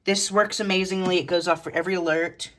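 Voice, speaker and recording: A woman's voice: on the low side, steady tone, fast talker, fairly bright tone. A woman giving a casual, matter-of-fact show-and-tell of a hobby collection in short, clipped phrases, recorded indoors at home.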